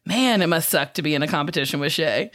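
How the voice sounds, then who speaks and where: A woman's voice: sits low, lively intonation, fast, fairly bright tone. Woman with a scratchy voice chatting casually. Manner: loose, joking, swearing. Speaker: podcast guest. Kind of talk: casual chat.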